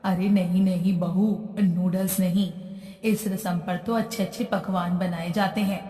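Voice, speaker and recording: A woman voicing a kind but firm middle-aged mother-in-law in a cartoon story, speaking gently and patiently. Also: on the low side, steady tone, unhurried, pitched below the performer's own voice, natural voice. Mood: gentle. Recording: roomy echo, distant from mic.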